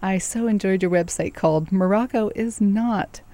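A woman's voice: medium pitch, moderate pace. A woman interviewing a guest, asking thoughtful questions in an encouraging, easygoing way. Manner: warm, encouraging, laughs easily. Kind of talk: conversational interview.

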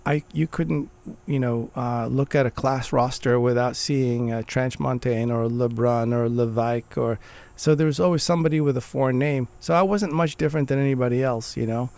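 Gravelly man in earnest conversation. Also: mid-range voice, darkish tone. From Morocco. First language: Arabic. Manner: earnest, restarts phrases while thinking. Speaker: guest. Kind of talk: conversational interview.

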